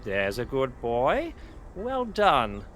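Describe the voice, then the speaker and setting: A man's voice: mid-range voice, huge pitch swings, extremely slow, fairly bright tone, a little hiss or hum, exaggerated voice. An actor playing a harried, kindly male schoolteacher herding children in a children's audio drama. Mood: flustered, scolding.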